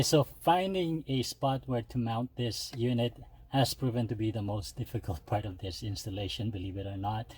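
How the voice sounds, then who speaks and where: A man hosting a DIY video, talking viewers through steps casually with halting, hesitant phrasing. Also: lowish voice, husky. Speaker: DIY car modification video host. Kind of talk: casual step-by-step tutorial talk.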